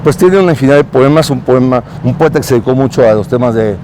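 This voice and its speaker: Mellow-voiced guy on the street, lots of ums and restarts, a bit of traffic hiss. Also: medium pitch, quick pace. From Mexico. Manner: hesitant, many fillers and restarts. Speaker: consul. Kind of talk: street interview. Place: outdoors on a city street.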